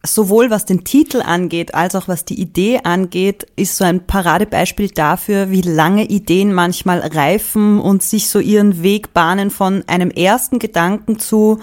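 A woman speaks with an Austrian accent in a casual, reflective conversation. Her voice sits at an average pitch, and she talks as a singer-songwriter would about the work. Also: normal speed, few pauses, darkish tone.

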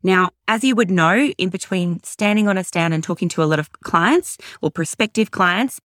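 A middle-aged woman hosting a podcast, upbeat, affectionate and a bit salesy, with a voice gone husky from overuse. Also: lowish voice, moderate pace, a bit bright, no room sound, studio quality. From Australia. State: hoarse from overuse. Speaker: podcast host. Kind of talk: podcast monologue.

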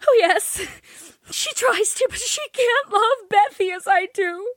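A woman's voice: high voice, lively intonation, a little slow, a bit bright, no room sound, clean studio sound, voice pushed up, heavily acted. A woman voicing Jo, a distraught teenage girl who is tearful and breaking into sobs. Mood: grief-stricken.

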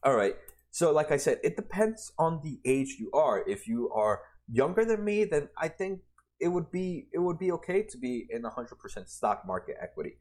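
A man's voice: fairly high pitch, big pitch swings, normal speed, a little mellow. A man in his twenties explaining retirement funds over slides in a casual way, often restarting and adding "right?". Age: 20s. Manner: conversational, many restarts and "right?" tags. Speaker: personal finance explainer.

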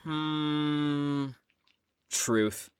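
A woman's voice: sits low, extremely slow, smooth, no room sound. A woman playing a brash, rebellious young slacker in an audio drama, teasing and sarcastic in slangy speech. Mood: bored, cocky.

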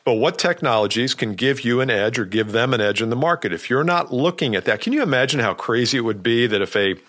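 A man's voice: medium pitch, expressive, fast talker, a bit bright. A man hosting a podcast, coaching sales leaders with direct talk and rhetorical questions. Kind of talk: podcast monologue.

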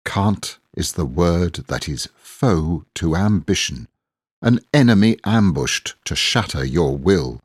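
A man reading inspirational verse aloud in a deliberate, earnest, exhorting manner. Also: deep voice, lively intonation, husky, no echo, studio quality. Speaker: poetry reader. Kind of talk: measured inspirational poetry recitation.

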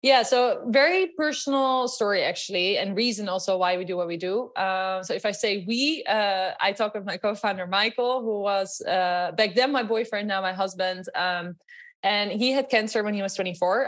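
A woman's voice: mid-range voice, normal speed. A young woman from the Netherlands with a Dutch accent, a guest chatting casually on a remote call with lots of fillers and laughs. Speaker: guest, company co-founder. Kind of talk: casual interview chat.

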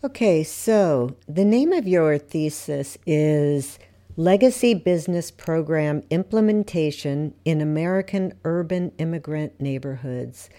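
Low-voiced woman interviewer, mellow tone. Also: expressive, unhurried. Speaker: podcast producer and interviewer. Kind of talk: podcast interview.

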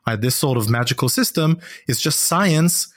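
A native Hebrew-speaking man in intellectual conversation, with a middle-pitched voice and a dry recording free of room sound. He talks discursively as a podcast guest, often tagging his points with a quick check for agreement. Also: expressive, dry recording.

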